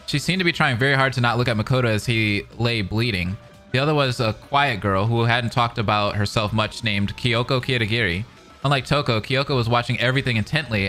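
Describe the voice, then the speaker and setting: An expressive man narrates a story and drops in casual, excitable reactions. His voice is bright and forceful, with soft music in the background. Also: medium pitch. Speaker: reaction commentator.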